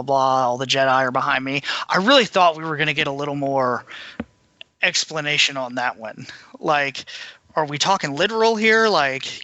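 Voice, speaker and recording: Higher male voice, very bright and forward, dry close sound, casual podcast co-host. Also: dry recording. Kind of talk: casual fan discussion.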